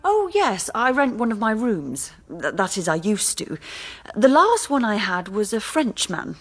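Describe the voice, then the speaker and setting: A woman's voice: mid-range voice, very animated, unhurried, fairly bright tone, breathy edge, exaggerated voice. A woman playing a chatty, rambling, slightly scatterbrained landlady in a radio drama. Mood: chatty, helpful. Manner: chatty, rambling, self-correcting.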